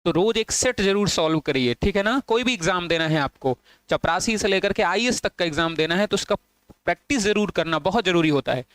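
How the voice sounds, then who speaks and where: A man's voice: high-pitched, lively intonation, a little fast, a bit bright, no echo. A man teaching an online exam-prep lesson, repeating points and asking and answering his own questions, with English phrases mixed into his Hindi.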